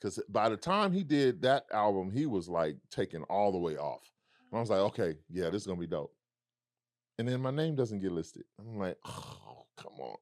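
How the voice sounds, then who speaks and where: A man with a low, deep baritone speaks in a reflective, storytelling way. He acts out remembered dialogue as he goes. The sound is close and dry, with no room around it. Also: very animated, normal speed, no echo. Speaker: musician guest. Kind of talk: casual conversation.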